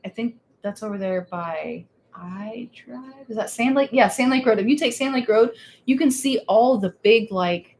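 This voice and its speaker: A woman's voice: on the high side, moderate pace, a little mellow, a little hiss or hum. A woman co-hosting a casual theme park live stream, chatty and laughing, rambling with restarts.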